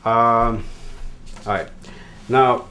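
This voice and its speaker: A man's voice: mid-range voice, fairly even intonation, extremely slow, sharp tone, slight background noise. A man hosting a television interview program, presenting and asking questions in formal, wordy phrasing. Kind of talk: TV interview hosting and promo announcements.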